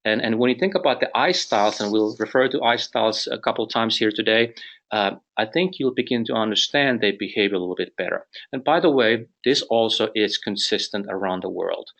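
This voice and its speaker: A man's voice: fairly low pitch, brisk, gravelly, fairly bright tone, dull audio. A middle-aged man from Finland with a Finnish accent, presenting a business webinar carefully, with restarts and light humor. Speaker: company president presenting a webinar.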